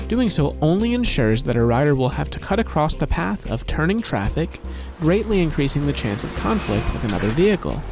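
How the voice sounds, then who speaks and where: A man reading a scripted instructional safety narration in an even, instructive manner. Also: on the high side, a little fast, over music. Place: voice-over over animation with background music.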